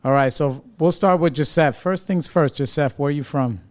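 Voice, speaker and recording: A man's voice: fairly high pitch, moderate pace. A man hosting a podcast, chatting casually and laughing easily with his guests. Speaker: podcast host. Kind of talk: casual interview chat.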